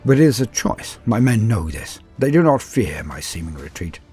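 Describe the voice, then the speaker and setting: A proud, calculating battle lord, voiced by a man tells his war tale over a music bed. His voice sits a little low and moves widely in pitch. The phrasing is solemn, deliberate and theatrical, dropping into hushed asides as in an audio storybook. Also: sing-song. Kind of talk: dramatic first-person story narration.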